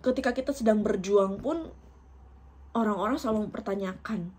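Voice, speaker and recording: A woman in her thirties from Indonesia speaks straight to her viewers in a personal vlog. Her voice sits at an ordinary middle pitch. She talks in an open, emotional way and laughs often as she speaks. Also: normal speed, darkish tone, breathy edge. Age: 30s. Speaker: vlogger.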